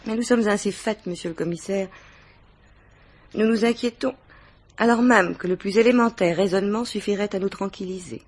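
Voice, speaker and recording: An actress playing a worldly, composed middle-aged married mistress questioned by police in a French radio mystery, with measured, formal diction. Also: female voice, mid-range voice, close to an everyday voice. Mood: proud, uneasy.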